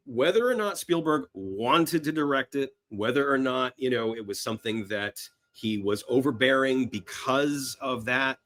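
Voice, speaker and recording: A man's voice: mid-range voice, normal speed, hardly any pauses, a bit bright. A man telling film-history anecdotes casually and emphatically as a podcast guest, often slipping into quoted dialogue.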